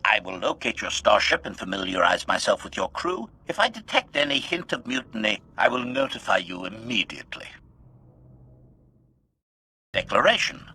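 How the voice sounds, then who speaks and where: A man's voice: mid-range voice, sharp tone, breathy edge, full character voice. A man voicing a cold, calculating, loyal assassin droid in a video game, with clipped, formal delivery. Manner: clipped, formal, announces each statement with a one-word label. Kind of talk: scripted video game dialogue.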